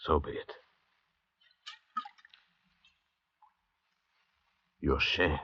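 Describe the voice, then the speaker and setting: A man's voice: normal speed, sharp tone, lightly acted. A man playing a cunning, proud doctor adrift at sea in old radio suspense style, calculating, commanding and theatrical, on a muffled vintage recording. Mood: wary, persuasive. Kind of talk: 1940s-50s radio suspense acting.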